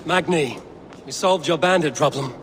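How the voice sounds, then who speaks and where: Casting: male actor stern Viking clan leader, slightly high voice, dry and commanding, game drama, noisy outdoor backdrop. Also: normal speed, natural voice. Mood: calm, practical. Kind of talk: video game dramatic acting. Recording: outdoor ambient noise, rain behind.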